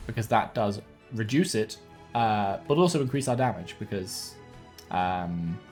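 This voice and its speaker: A young man streaming a card game from home, thinking aloud in a relaxed way and correcting himself, sounding a bit sniffly and bunged up. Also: on the low side, a little slow. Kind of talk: gameplay commentary. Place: home room with webcam. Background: faint game music.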